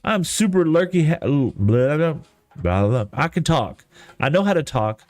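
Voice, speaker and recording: A man's voice: on the high side, very animated, normal speed, no room sound. Rambling Texan man chatting on a stream. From East Texas. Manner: rambling, self-deprecating, folksy. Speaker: livestreamer. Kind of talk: casual gaming stream chat. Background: soft lofi music.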